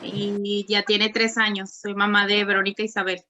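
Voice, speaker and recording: A woman's voice: medium pitch, monotone, moderate pace, bright, forceful tone, soft background music. A woman introducing herself eagerly as a parent on a video call. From Mexicali, Mexico. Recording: muffled call audio.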